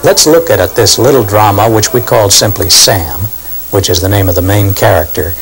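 Older male radio veteran, low voice, deliberate explanatory commentary, faint music behind. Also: poor audio. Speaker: former radio actor and producer. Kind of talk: reminiscing documentary commentary.

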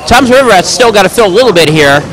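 A man calls a bowling match live with a loud, projecting voice that sits a bit high. He tosses in chatty, joking asides between the calls. The clatter of a busy bowling alley carries clearly behind him. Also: animated, fast talker, noisy background. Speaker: bowling commentator. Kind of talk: live play-by-play commentary.

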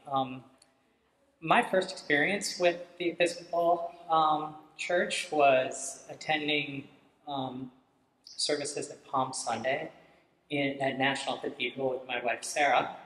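A middle-aged man, a father in the parish, speaking haltingly and thoughtfully into a handheld microphone in a church. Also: medium pitch, a bit bright. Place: large church sanctuary. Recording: echoey church hall.

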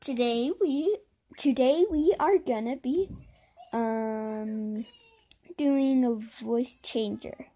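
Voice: mid-range voice, drawn-out words, warm tone. A child hosting a casual video, trying out and showing a phone app. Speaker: video host.

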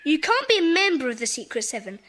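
Bright, bossy schoolboy leader. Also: female voice, high-pitched, big pitch swings, fast talker. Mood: decisive. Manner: assertive, decisive. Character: decisive, confident schoolboy club leader. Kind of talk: children's audio drama acting.

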